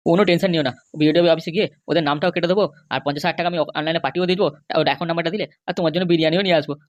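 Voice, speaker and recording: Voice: medium pitch, fairly even intonation, racing, no room sound, lo-fi sound, lightly acted. A performer voicing a compliant but grumbling, worried Bengali husband and father in a comedy cartoon, with a placating, hesitant manner. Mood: agreeable. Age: middle-aged. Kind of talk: animated comedy cartoon dialogue.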